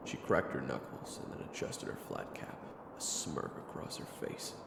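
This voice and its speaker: A man's voice: normal speed, reverberant, recorded from a distance, very noisy. A man reading scripted third-person narration as the narrator of an audio drama.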